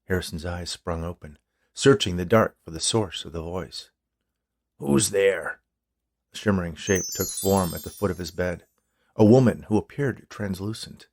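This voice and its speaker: A man's voice: low-pitched, normal speed, a little mellow. A man reading his own short story as scripted narration.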